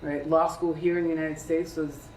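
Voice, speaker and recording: A woman's voice: sits low, level tone, moderate pace, a little mellow. A woman in her thirties, born in Peru, speaking on a career panel to students in a conversational way and often asking them questions. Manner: conversational, asks the audience questions. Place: lecture room. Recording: echoey room, distant mic, faint hiss.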